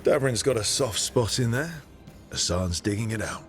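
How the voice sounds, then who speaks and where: An actor playing a supportive, wry male hero in a fantasy video game, calm and lightly teasing. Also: fairly low pitch, normal speed, natural voice. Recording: loud music behind the voice.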